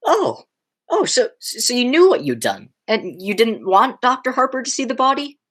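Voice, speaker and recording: A teenage woman playing an agitated, horrified young man who stammers and exclaims in a high school radio play. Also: very animated, moderate pace. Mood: baffled, alarmed.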